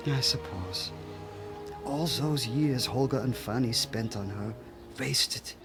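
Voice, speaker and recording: An actor with a German accent playing a stern, regretful professor in a radio drama. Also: male voice, mid-range voice, slow pace, music in the background. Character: stern, regretful German professor, husband, middle-aged or older.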